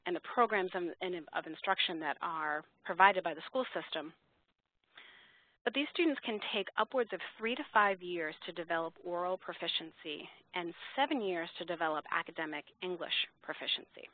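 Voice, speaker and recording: Low-voiced woman presenting over a phone line. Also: moderate pace, no echo. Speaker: software company webinar presenter. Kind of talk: slide presentation to educators. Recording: narrow-band phone line, dry.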